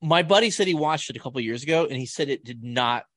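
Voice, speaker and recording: A man's voice: medium pitch, expressive, fast, fairly bright tone, no room sound, studio quality. A man in his thirties chatting casually on a podcast, joking and swearing freely. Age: 30s. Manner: profane, joking banter.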